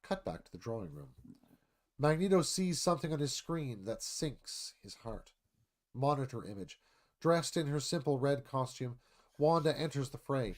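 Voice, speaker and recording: A man reads stage directions as dramatic narration. His voice is mid-pitched and moves up and down expressively, in the scripted style of a screenplay reading. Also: animated, moderate pace.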